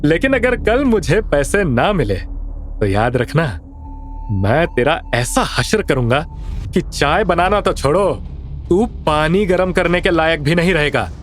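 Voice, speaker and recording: A man's voice: on the high side, big pitch swings, a little hiss or hum, voice pushed up. A man voicing a mocking, bullying loan shark thug in an audio drama, jeering and taunting as he speaks. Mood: threatening. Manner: jeering, taunting, drawn-out threats. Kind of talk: Hindi audio drama acting.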